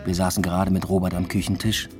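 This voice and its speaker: A low-pitched actor as a male lecturer leading a double life tells his story in a literary radio play. He is dry, introspective and evasive, with clear music behind him. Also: little pitch movement, fast, natural voice. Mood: wry, reflective. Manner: dry, matter-of-fact, introspective. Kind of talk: literary radio drama first-person narration.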